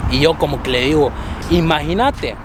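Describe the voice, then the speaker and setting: Guy vlogging on the street, flips spanish and english, kinda high voice, traffic noise. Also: normal speed. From abroad, came to the US at fifteen. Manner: switches between Spanish and English, laughs often. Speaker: vlog host. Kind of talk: casual street interview chat.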